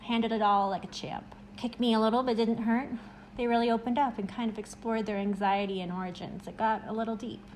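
A woman's voice: on the high side, steady tone, brisk, a little mellow, natural voice, rough recording. A woman playing a playful, teasing young extrovert. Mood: playful banter. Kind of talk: acted short-story dialogue. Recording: clear background noise and ambience.

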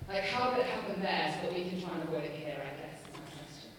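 A woman's voice: lowish voice, lively intonation, fast, background noise. A woman in the audience asking a thoughtful, hesitant question. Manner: hesitant, thoughtful, many ums. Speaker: audience member. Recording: echoey hall from far off.

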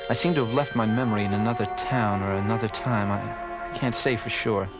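An actor playing a dazed, hard-boiled private detective, wry and hesitant, in film-noir parody style. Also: male voice, fairly low pitch, fairly even intonation, brisk, loud music behind the voice, natural voice.

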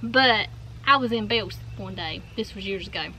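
A woman in her forties with a Southern US accent, telling stories in an animated, chatty way to viewers outdoors in a golf cart. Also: medium pitch, moderate pace, a little hiss or hum, rough recording. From North Carolina. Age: 40s. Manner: animated storyteller, addresses viewers as y'all. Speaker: co-host. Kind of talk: casual storytelling chat. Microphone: fixed camera in front.

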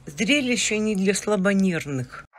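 A woman's voice: medium pitch, lively intonation, measured pace, very noisy. A woman reading a grave voice-over narration about a rescued dog.

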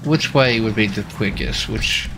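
A man's voice: fairly low pitch, measured pace, bright, forceful tone, a little hiss or hum. An American man talking casually in a folksy, slangy way as he streams a police roleplay game.

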